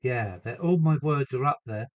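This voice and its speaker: A man with a cold chatting casually on a home live stream from his loft, answering viewers and trying out halting Spanish phrases. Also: medium pitch, expressive, darkish tone. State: has a cold. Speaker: model railway live streamer.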